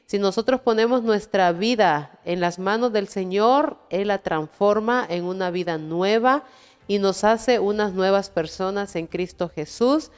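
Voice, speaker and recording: Smooth-voiced woman hosting a faith interview. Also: medium pitch, measured pace. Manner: warm preachy phrasing with frequent "¿verdad?" prompts. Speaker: host.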